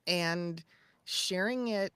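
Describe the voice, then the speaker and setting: A woman's voice: on the low side, extremely slow, fairly bright tone, smooth, dry recording. A woman co-hosting a casual livestream, chatting and giving advice conversationally. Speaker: co-host.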